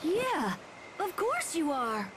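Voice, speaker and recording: Anime game boy, friendly n eager, high bouncy voice, noisy ambience behind. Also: huge pitch swings, slow pace, a bit bright, exaggerated voice. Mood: encouraging. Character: eager, friendly young boy, earnest and cheerful. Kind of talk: English-dubbed anime game cutscene acting.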